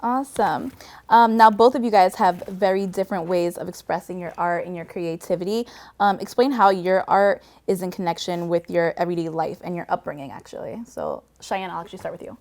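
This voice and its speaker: A woman's voice: fairly low pitch, a little fast, a little mellow. A woman hosting a casual interview, upbeat and encouraging. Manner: upbeat, lots of laughs and encouraging backchannels. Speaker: host.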